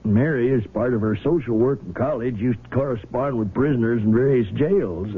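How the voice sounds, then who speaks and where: A man's voice: mid-range voice, breathy edge, natural voice. Man playing worried father on tinny old radio. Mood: reluctant, confessing. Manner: hesitant, halting. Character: worried small-town father, reluctant, steady family man. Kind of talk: 1970s radio drama acting. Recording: narrow noisy vintage radio broadcast.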